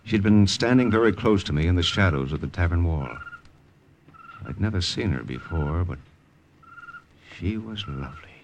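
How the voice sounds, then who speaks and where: A man's voice: low voice, lively intonation, normal speed, pauses often, slight background noise. An actor playing a wary American secret agent who narrates his mission in an old radio drama, terse and understated, with an American accent. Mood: reflective. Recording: vintage radio drama recording with music.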